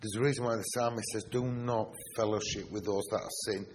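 A man's voice: fairly low pitch, fairly even intonation, brisk. A man with a Yorkshire accent preaching a sermon, stressing key words and asking the congregation rhetorical questions. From Yorkshire, England. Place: church hall. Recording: reverberant hall with faint noise.

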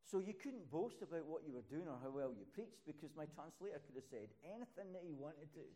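A man's voice: lively intonation, a little fast. Preacher man with rising, emphatic peaks. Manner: climbs to loud, high emphatic peaks. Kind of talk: sermon with personal stories.

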